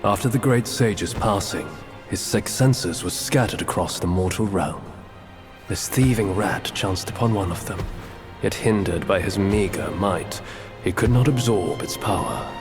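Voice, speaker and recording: Deep, solemn bodhisattva. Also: male voice, over music. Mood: grave. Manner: measured, solemn, formal. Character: solemn male Buddhist bodhisattva, stately and grave. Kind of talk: scripted game dialogue, expository.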